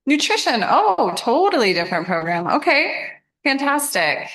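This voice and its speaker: A woman's voice: high voice, sing-song, normal speed, fairly bright tone, poor audio. Encouraging woman presenter. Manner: encouraging, affirming. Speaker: program presenter and host. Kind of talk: interactive presentation to students.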